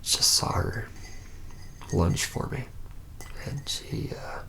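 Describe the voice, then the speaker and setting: Guy acting as young vampire dude, rueful n haunted, slow halting asmr whisper w tons of gravelly fry. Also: mid-range voice, animated, pushed and bright, full character voice. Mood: haunted, fearful. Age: young adult. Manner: halting, hesitant storytelling with heavy pauses. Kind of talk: whispered ASMR roleplay monologue.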